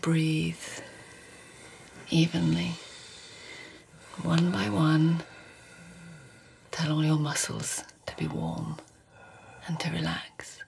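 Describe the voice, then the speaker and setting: Self-assured court lady, deep creaky voice, hushed, intimate, commanding, radio play. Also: flat delivery, drawn-out words, pauses often, a little hiss or hum, full character voice. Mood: soothing, intimate. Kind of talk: radio drama acting.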